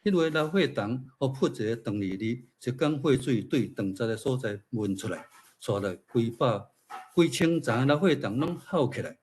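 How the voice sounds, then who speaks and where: A man's voice: mid-range voice, lively intonation, normal speed, darkish tone, slight background noise. A man with a Taiwanese accent, an author reading his own fiction aloud and adding informal remarks. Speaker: featured speaker, writer.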